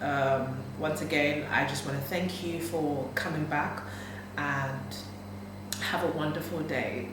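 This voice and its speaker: A woman's voice: deep voice, steady tone, slow, background noise. A woman in her thirties talking candidly in a personal vlog, emphatic and repeating her phrases. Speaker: vlogger. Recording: echoey room, mic at a distance.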